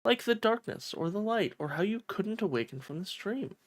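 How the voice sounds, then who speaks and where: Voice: mid-range voice, animated, moderate pace, dry recording, natural voice. A streamer voicing a sassy, sly cat who taunts theatrically in a fantasy visual novel. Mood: teasing.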